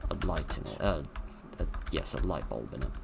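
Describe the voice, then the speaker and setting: A hoarse man giving dry, wry, rambling commentary while playing a horror game at his computer. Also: rumbling low, expressive, moderate pace, heavy background noise. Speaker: gameplay commentator. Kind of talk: let's play commentary.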